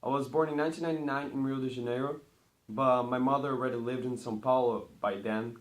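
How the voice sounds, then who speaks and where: A teenage boy from Brazil, a Portuguese native speaker, talking about himself in a chatty, rambling vlog with bursts of excitement at each numbered item. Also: medium pitch, quick pace. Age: teens. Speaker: vlogger. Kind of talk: casual talk to camera.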